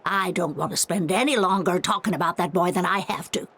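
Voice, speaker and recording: A woman's voice: on the low side, a little fast, fairly bright tone, breathy edge. An actress playing a bitter, unforgiving middle-aged mother in a video game, curt, cold and scornful. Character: bitter, unforgiving mother disowning her grown son.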